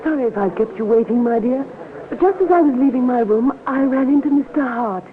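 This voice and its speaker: A woman's voice: sits high, a little fast, natural voice. An actress playing a chatty, gushing, fashionable woman in a 1950s radio drama. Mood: gushing apology. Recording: tinny old radio recording.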